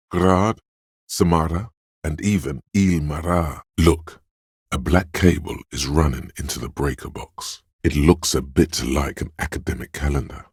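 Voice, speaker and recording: A watchful game perception sense, super deep rough voice, dry wry observations. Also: male voice, a little mellow, dry recording, full character voice. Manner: measured, observant, dryly descriptive. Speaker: voice of a detective's perception skill. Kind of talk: scripted game voice lines.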